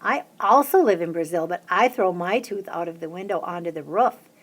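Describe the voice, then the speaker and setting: A woman reading a children's picture book aloud, lifting her voice high and bright for the little chants. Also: animated, moderate pace. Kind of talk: storybook read-aloud.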